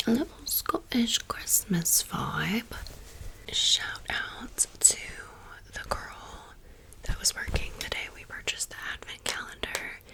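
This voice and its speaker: A woman in her thirties whispering softly in a chatty ASMR show-and-tell, blunt and casual. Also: medium pitch, lively intonation, takes it slowly, creaky. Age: 30s. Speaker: ASMR creator. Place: home room with fridge and outside noise.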